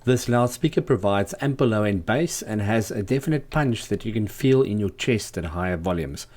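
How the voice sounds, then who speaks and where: A man's voice: fairly low pitch, lively intonation, moderate pace, darkish tone. A man hosting a do-it-yourself video, narrating in a scripted tutorial style. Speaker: host, DIY speaker builder.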